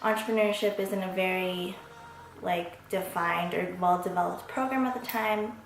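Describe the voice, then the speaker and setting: A woman's voice: on the low side, normal speed, vocal fry, slight background noise. A woman in her twenties from Las Vegas, hosting her own vlog in a candid, reflective monologue with casual swearing. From Las Vegas, USA. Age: 20s. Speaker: vlog host. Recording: echoey room with background music.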